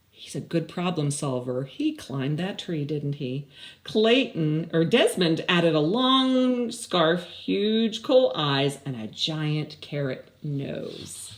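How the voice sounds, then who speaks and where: An older woman hosting story time for children, reading and chatting expressively with questions for young listeners. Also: lowish voice. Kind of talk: picture-book read-aloud and chatting to children. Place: small indoor room with blinds.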